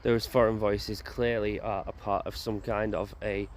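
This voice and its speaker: A man's voice: lowish voice, flat delivery, measured pace. A man walking through a graveyard as he vlogs, musing aloud in a hesitant way with many fillers and restarts. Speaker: paranormal investigator vlogger. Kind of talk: walking vlog commentary. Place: graveyard outdoors, walking a path. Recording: loud outdoor background noise. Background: outdoor noise and birds, a crow.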